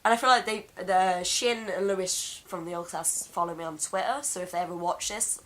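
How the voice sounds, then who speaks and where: A young Englishwoman with a deep voice, hoarse from being ill, rambling through a casual vlog chat at home on a camera mic. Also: steady tone, fairly quick, fairly bright tone, poor audio. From England. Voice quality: deep for a woman. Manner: rambling, chatty, laughs often. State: hoarse from illness. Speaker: vlogger. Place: home room.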